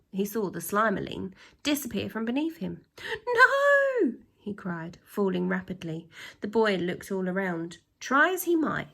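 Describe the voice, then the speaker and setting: A woman reading a children's story aloud like a teacher, with expressive, drawn-out emphasis and acted sound effects. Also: mid-range voice, creaky, slightly rough.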